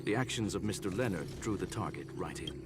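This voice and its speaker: An actor playing a composed, analytical gentleman machine in a video game, speaking in formal, measured, eloquent phrases. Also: low voice, expressive. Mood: measured, knowing. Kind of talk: scripted video game voice acting.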